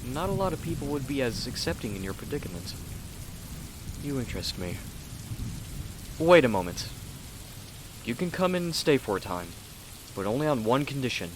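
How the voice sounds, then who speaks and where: A man's voice: medium pitch, very animated, moderate pace, long pauses, a little hiss or hum, lightly acted. A man playing a haughty, impatient, self-important artist in an ASMR roleplay, speaking in a dry, condescending, theatrical way. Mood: intrigued, guarded. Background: rain and thunder.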